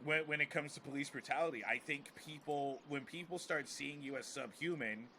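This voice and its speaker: American male podcast host, casual but emphatic, forceful bright tone, repeats himself to build points, slight noise behind. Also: a little high, moderate pace. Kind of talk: casual opinionated podcast talk.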